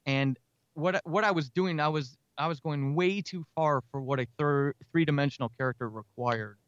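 A man talking as an interview guest, reflecting earnestly and self-critically on his craft in halting, explanatory phrases. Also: on the high side, fairly bright tone, dry recording, highs cut off. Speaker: guest writer and podcaster.

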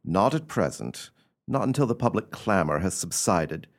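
A man's voice: sits low, sing-song, moderate pace, heavily acted. A man playing a haughty, dismissive landowning lord in a radio play, speaking stiffly and formally. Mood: guarded, dismissive.

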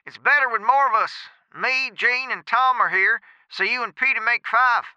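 A man's voice: extremely high pitch, moderate pace, bright, forceful tone, clean tone, no room sound, voice pushed up, full character voice. A man voicing an anxious, insistent rural man calling with urgent news through an old telephone receiver, in an audiobook story. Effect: old telephone receiver filter. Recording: dull, band-limited phone sound.

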